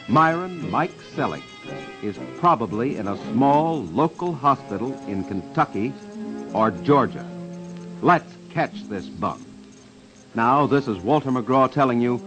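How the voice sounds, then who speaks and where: An American man hosting a vintage radio crime show, making urgent, emphatic, dramatic appeals to listeners. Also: mid-range voice. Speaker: radio host and investigator. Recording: dull vintage radio, music underneath.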